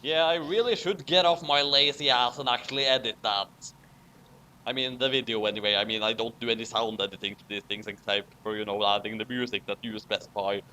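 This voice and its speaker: A man's voice: medium pitch, fairly even intonation, a little fast, bright, forceful tone, a little hiss or hum, rough recording. A man chatting casually as a co-host, with a dry, self-deprecating manner. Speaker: co-host and video editor. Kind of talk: casual chat.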